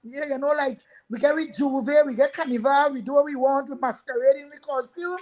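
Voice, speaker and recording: A woman's voice: high-pitched, a little fast, a little mellow. A woman hosting a live talk show, chatty, addressing viewers and repeating words as she goes. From Trinidad.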